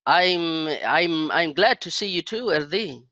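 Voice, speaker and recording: A middle-aged man with a Chilean accent and Spanish as his native language, chatting in halting English and repeating phrases as he searches for words. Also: fairly high pitch, sharp tone, no room sound, lo-fi sound. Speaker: English learner. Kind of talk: casual conversation practice. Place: indoor room on a video call. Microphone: headset.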